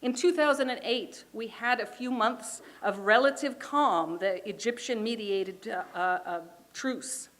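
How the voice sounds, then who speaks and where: A middle-aged woman from Israel, a native Hebrew speaker, delivering a prepared political speech at a rally in an emphatic, deliberate oratorical manner. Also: on the high side, a bit bright. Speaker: community organization chair speaking at a rally.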